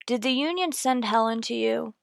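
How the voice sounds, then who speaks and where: A woman's voice: a little high, normal speed, creaky, clean tone, no room sound, studio quality. A woman playing a terse, businesslike police detective in a radio crime drama, clipped and matter-of-fact. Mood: questioning. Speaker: narrator and lead actor. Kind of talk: old-time radio crime drama acting.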